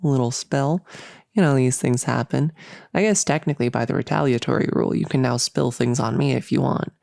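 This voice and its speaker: Chatty, creaky-voiced nerdy cultist played by a woman. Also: rumbling low, darkish tone, dry recording, clean studio sound, full character voice. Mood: musing, awkward. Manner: chatty, halting, teasing, coddling. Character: bossy-sweet nerdy young cultist woman, playful and doting. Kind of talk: intimate roleplay audio monologue.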